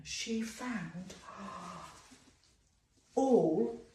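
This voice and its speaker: Female children's storyteller, very lively sing-song delivery, drawn-out key words, roomy echoing recording. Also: fairly high pitch. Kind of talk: storytelling to young children with questions and phonics.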